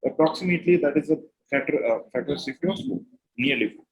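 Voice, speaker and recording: A man's voice: on the high side, fast, rough recording. A man with an Indian accent working as a mock interviewer, instructive, repeating and rephrasing his questions and advice. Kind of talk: interview questioning and feedback, switching between two languages.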